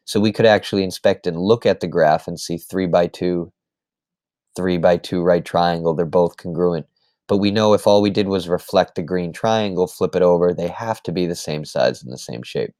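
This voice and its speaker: Man, very deep voice, slightly hoarse, patient step-by-step teacher, dry recording. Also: normal speed, a little mellow, no echo. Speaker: math teacher. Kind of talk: screen-recorded lesson recap.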